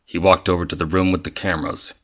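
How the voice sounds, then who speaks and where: A man's voice: deep voice, level tone, fast, fairly bright tone, muffled recording. A man reading scripted story narration for an audio drama.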